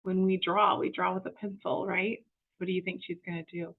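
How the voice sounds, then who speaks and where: A woman reading a storybook aloud to young children in an expressive, teacherly way, with explanatory asides and questions to the listeners. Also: lowish voice, normal speed, breathy edge, phone-quality sound.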